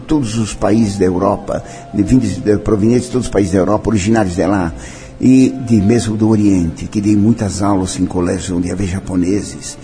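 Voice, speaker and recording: An older man speaks, his voice low-pitched and mellow. He talks in an interview with a solemn, declamatory manner, slipping now and then into other languages. Faint music plays behind him. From Brazil. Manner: solemn, declamatory, mixing in French and Italian phrases. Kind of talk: interview, personal reminiscence.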